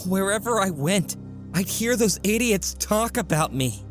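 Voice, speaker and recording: A man playing a guilt-ridden, defensive teenage son in a comic dub, hesitant and evasive, halting as he talks. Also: high-pitched. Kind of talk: amateur comic dub voice acting.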